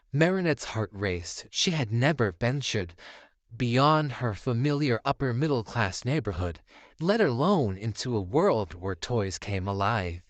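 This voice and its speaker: A man reading a scripted bedtime story as narrator, in a measured, theatrical manner. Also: on the low side, no room sound.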